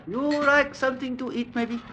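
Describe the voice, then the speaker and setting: An actor playing a gentle, superstitious ship's steward in a vintage radio drama, speaking clipped broken English with quiet fatalism. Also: male voice, very high voice, steady tone, normal speed, a little hiss or hum. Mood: anxious, resigned. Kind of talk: classic radio drama acting. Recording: dull vintage radio recording.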